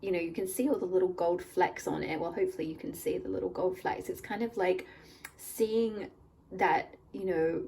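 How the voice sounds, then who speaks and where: A woman with a slightly croaky voice from a cold, gently guiding viewers through a pick-a-card tarot reading at a table. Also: fairly low pitch, moderate pace, recorded from a distance, slight background noise, poor audio. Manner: gentle, warm address to viewers. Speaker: tarot reader and channel host. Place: indoors at a table, hands-only camera.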